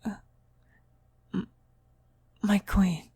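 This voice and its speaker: Woman acting a breathy, whispering devoted cultist. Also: medium pitch, steady tone, no room sound, exaggerated voice. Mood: nervous, deferential. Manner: reverent, deliberate, hushed. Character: devoted female cultist, eerily reverent servant of demon queen. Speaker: voice actor in an audio roleplay. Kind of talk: whispered ASMR roleplay acting.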